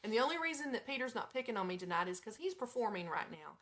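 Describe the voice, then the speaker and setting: A woman's voice: fairly high pitch, sing-song, fast talker, a bit bright. An American woman hosting a casual live radio show, speaking in an informal, chatty manner as she moves between reading items and talking to listeners. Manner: informal, chatty, breaks into giggles and asides.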